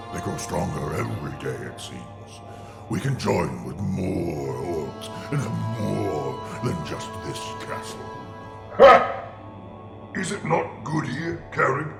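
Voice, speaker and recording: Slow, gravelly, brutal orc chief. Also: male voice, sits low, sing-song, heavily acted. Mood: scheming. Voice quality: gravelly growl. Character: brutal hulking male orc war chief, scheming and blustering. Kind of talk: fantasy audio drama acting. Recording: roomy echo, loud background music.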